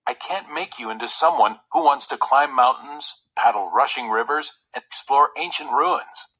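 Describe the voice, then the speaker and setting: A kind but resolute young woman speaking her letter, as read aloud by a man. The storybook delivery is gentle and frank, with expressive pitch movement and a husky tone. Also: moderate pace, full character voice, rough recording. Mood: apologetic, gentle.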